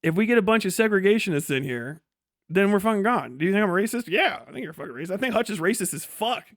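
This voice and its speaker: A man with a notably high voice talks off the cuff as a livestream host. He is blunt and sarcastic and swears freely. The sound is dry and close, with very little room in it. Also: animated, quick pace, no echo. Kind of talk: casual livestream commentary.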